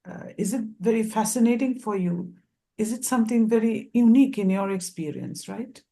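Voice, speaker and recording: A woman's voice: on the high side, moderate pace, warm tone. Woman moderator asking rambling questions. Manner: rambling, repeats words while asking. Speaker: interviewer moderator. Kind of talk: panel interview questions.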